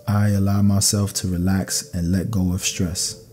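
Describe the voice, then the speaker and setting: A man narrating scripted sleep meditation affirmations in a calm, soothing, measured way. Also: low-pitched, faint music behind.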